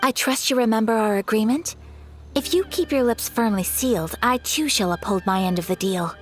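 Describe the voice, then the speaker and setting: A woman's voice: on the high side, close to an everyday voice. A woman voicing a poised noblewoman. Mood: commanding. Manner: polite, formal, measured. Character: poised young noblewoman, composed and formal. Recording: game audio with faint music behind.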